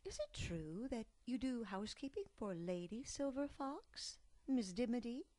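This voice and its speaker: A woman voicing a gentle mother bear who speaks softly, calmly and without hurry in a storybook. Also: a little high, no room sound, pitched above the performer's own voice. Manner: soft, calm and unhurried. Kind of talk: storybook character voice.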